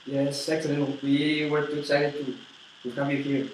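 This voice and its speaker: Guy hosting interview far from mic, super echoey, halting and repeats himself. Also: a little high, monotone. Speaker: interview host. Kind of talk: scripted school-project interview. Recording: distant, very echoey room with noise.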